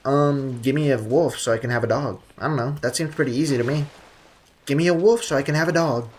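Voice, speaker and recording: A man's voice: mid-range voice, fairly quick, breathy edge. A man live streaming a video game, chatting casually with viewers in a slangy, joking, rambling way.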